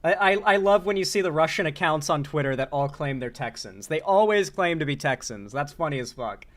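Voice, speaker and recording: Male stream host reacting live, slightly raised pitch, loose and sarcastic. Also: lively intonation, a little fast, fairly bright tone. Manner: casual, sarcastic, frequent "like". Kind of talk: live political commentary.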